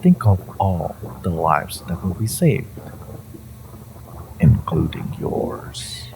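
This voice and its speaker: A man playing an ancient, possessive hive-mind entity in an audio drama, condescending and theatrical, with amused menace and a low, creaky voice. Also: big pitch swings, background noise, heavily acted. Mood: coaxing menace. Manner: theatrical, condescending, halting with drawn-out menace and chuckles. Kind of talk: scripted audio roleplay addressed to the listener.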